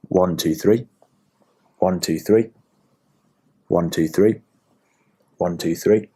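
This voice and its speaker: A man, a patient, slowly and quietly repeating numbers on request. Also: low voice, slight background noise. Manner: quiet, slow, compliant counting.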